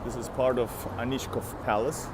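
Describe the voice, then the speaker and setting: A man's voice: mid-range voice, flat delivery, normal speed, heavy background noise. A man from Finland, native in Russian, chatting casually with viewers on a live stream while walking outdoors. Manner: chatty, greets viewers by name. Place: city street outdoors.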